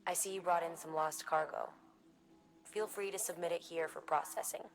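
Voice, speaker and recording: An actress playing an encouraging delivery terminal operator in a video game, explaining things in a reassuring way. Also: female voice, lowish voice, steady tone, moderate pace, natural voice. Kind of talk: scripted video game briefing. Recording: faint noise and ambience behind.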